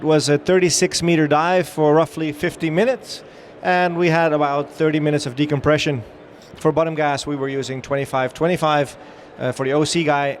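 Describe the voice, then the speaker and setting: A man's voice: fairly high pitch, slight background noise. Upbeat Dutch-accented man presenting on a show floor. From Netherlands. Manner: chatty, upbeat, with frequent fillers and restarts. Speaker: dive training director giving a trade-show talk. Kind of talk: live presentation to an audience.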